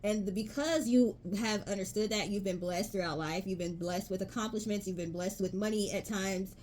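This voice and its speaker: Casting: woman tarot reader, mid-pitched, talks straight to viewers, emphatic, with faint background hiss. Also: moderate pace, a little mellow. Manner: chatty, emphatic, reads card text aloud. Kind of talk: casual tarot reading to viewers.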